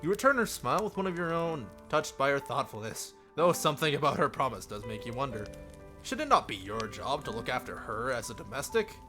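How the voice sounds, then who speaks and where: A man's voice: mid-range voice, very animated, fairly quick, sharp tone, faint music behind. A man reading story text aloud live, with amused casual asides. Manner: amused, breaks into chuckles and side remarks. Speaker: streamer reading a visual novel aloud.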